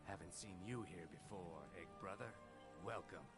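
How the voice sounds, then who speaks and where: A man's voice: low voice, moderate pace, a bit bright, breathy edge, faint music behind, full character voice. An actor playing a blunt, bitter male lizardfolk dockworker in a game. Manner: blunt, dry, resentful.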